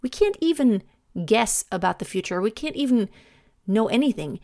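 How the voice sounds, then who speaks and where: A woman's voice: fairly high pitch, sing-song, moderate pace, gravelly, mellow, no echo, studio quality. A middle-aged woman from Germany, speaking English with a German accent, hosting a reflective solo podcast and often restarting her sentences. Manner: thoughtful, stumbles and restarts often. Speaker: podcast host.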